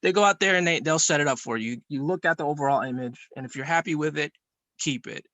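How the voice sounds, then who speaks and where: A man's voice: fairly high pitch, quick pace, bright, forceful tone, dry recording, low-quality recording. A man giving a casual, practical explanation in a matter-of-fact way, as a student cinematographer.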